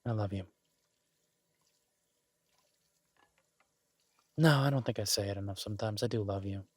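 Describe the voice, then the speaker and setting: A close, dry recording of a man acting as a restaurant chef and devoted boyfriend in an audio roleplay. His voice sits on the low side, and he talks casually and haltingly, stammering into restarts, exasperated yet affectionate. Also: slightly rough, no room sound. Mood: tender, sincere. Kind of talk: intimate boyfriend roleplay, one-sided casual dialogue.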